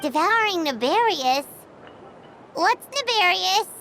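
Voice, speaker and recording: A woman voicing a tiny, curious childlike companion in a video game, with a squeaky, exclamatory delivery. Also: lively intonation, takes it slowly, gravelly, pushed and bright, a little hiss or hum, exaggerated voice. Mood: puzzled, surprised. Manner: inquisitive, exclamatory. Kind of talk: scripted video game dialogue. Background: prominent background music.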